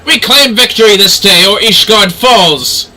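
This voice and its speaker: A man's voice: high-pitched, measured pace, pushed and bright, a little hiss or hum, heavily acted, low-quality recording. A man voicing fervent fantasy knight commanders in a video game, declaiming urgent battle cries to rally troops. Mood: rousing, defiant.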